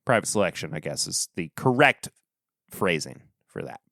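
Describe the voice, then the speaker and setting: A man hosting a podcast, talking casually and rambling in a relaxed way, with a stuffy nose. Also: deep voice, normal speed, creaky, no room sound, clean studio sound. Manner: relaxed, rambling, trailing off quietly. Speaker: podcast host. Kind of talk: casual solo review chat.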